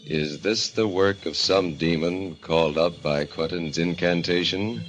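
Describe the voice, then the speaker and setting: Deep, ominous radio mystery narrator. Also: male voice, slight background noise. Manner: solemn, portentous, deliberate. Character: grave, ominous male narrator of horror mystery. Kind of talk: golden age radio narration. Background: dramatic music.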